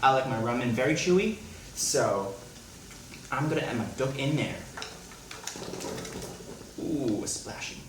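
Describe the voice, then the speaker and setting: Young male vlogger from Hong Kong, casual and joking, mid-pitched, echoey room with music underneath. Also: expressive, unhurried, long pauses, noisy background. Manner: chatty, playful asides. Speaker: vlogger, home cook. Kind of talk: casual cooking vlog chat. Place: small apartment room.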